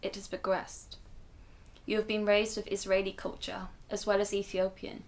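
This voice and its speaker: A woman acts as a protective grandmother in a radio play. She explains things earnestly and with measured care, in a middling female pitch. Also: level tone, close to an everyday voice. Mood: earnest, reassuring. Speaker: actor in a radio drama. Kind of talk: radio drama dialogue.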